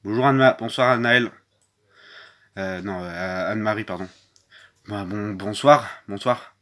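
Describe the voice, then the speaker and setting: A man's voice: on the low side, measured pace, pushed and bright. A man hosting a mock newscast, stumbling through constant restarts and fillers. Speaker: mock news anchor. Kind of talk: improvised parody newscast.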